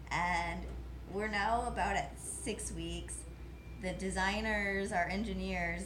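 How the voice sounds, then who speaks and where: A woman's voice: mid-range voice, roomy sound, background noise. A woman vlogger who is losing her voice, talking in a casual, rambling way full of fillers while outdoors. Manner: chatty, rambling, lots of fillers. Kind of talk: casual chat. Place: outdoors in wind.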